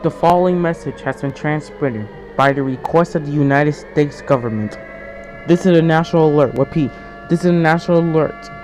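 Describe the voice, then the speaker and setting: A man's voice: on the high side, a little mellow, lo-fi sound, close to an everyday voice. A man playing a solemn emergency alert announcer, giving formal, stilted, repeated warnings in an amateur mock broadcast. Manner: formal, repetitive, stilted delivery.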